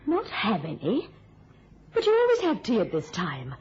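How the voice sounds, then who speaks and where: An actress playing a prim, controlled middle-aged spinster in an old radio drama, solicitous and husky. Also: female voice, mid-range voice, huge pitch swings, normal speed, a little mellow, a little hiss or hum. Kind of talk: mid-century radio drama acting. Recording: muffled old-time radio recording.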